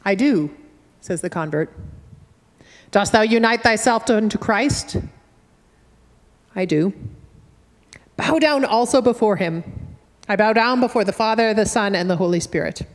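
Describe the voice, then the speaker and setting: A middle-aged woman with a Canadian accent, a minister giving a measured, earnest sermon from the pulpit with gentle humor. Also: medium pitch, expressive. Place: wood-panelled church sanctuary, at a pulpit. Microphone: fixed church camera at a distance. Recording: church with long reverb.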